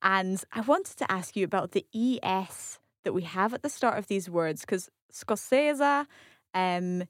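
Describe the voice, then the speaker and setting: A woman's voice: medium pitch, expressive, a little slow, dry recording, studio quality. Curious Scottish woman learner. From Scotland. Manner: curious, asks follow-up questions, repeats phrases back. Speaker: language learner co-host. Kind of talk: language lesson dialogue.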